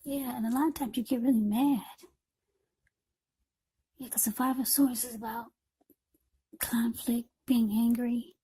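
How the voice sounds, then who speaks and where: A woman tarot reader with a husky, breathy voice, speaking informally to the viewer in short, unscripted phrases. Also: on the high side, steady tone, measured pace, pauses often, warm tone, rough recording.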